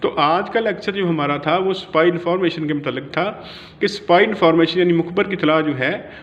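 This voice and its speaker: A man with a Pakistani accent giving an informal explanatory law lecture that mixes in English legal terms, in a halting, repetitive way. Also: fairly high pitch. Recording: dull, lo-fi audio.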